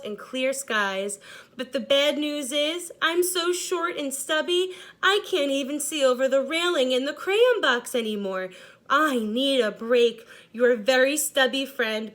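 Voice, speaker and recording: Worn-out blue crayon, played by a woman, high voice, good-natured yet weary, storybook read-aloud. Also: fairly bright tone.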